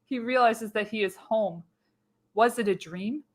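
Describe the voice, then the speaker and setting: A woman's voice: a little high, unhurried. A woman narrating a radio play script, reading stage directions with dramatic delivery. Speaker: narrator, show host.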